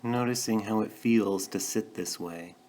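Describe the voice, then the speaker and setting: A man leading a guided meditation, speaking gently and in a measured way with pauses between short phrases. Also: on the low side, a little hiss or hum. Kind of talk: guided meditation, calm instruction.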